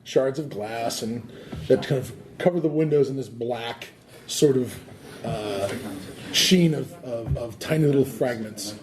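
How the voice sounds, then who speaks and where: A man narrating a tabletop horror game as game master, with halting restarts. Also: mid-range voice, a crowd in the background.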